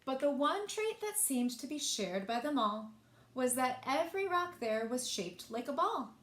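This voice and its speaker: A woman reading picture books aloud in an expressive way, speaking directly to young listeners and asking them questions. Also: high voice, measured pace. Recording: echoey room.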